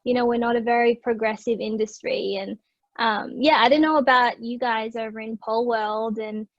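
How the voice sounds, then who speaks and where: A woman's voice: a little high, steady tone, moderate pace, no echo. A woman with an Australian accent, a digital marketer talking loosely in a casual conversation with plenty of fillers. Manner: chatty, loose, many fillers. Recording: dry, dull lo-fi sound.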